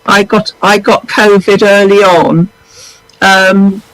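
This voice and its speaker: Deep-voiced woman, halting and earnest. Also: flat delivery, fairly bright tone, dry recording, rough recording. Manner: earnest, halting, with frequent pauses. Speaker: viewer calling in.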